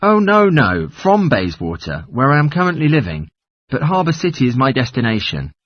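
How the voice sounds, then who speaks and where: A man's voice: medium pitch, wildly swooping pitch, moderate pace. A man playing a polite caller asking for travel information in a scripted language-test dialogue. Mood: polite, inquiring.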